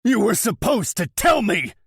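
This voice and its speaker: A man's voice: fairly low pitch, measured pace, husky, dry recording, clean studio sound. A man doing a snarling, betrayed, vengeful man. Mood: furious. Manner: snarling, then icy.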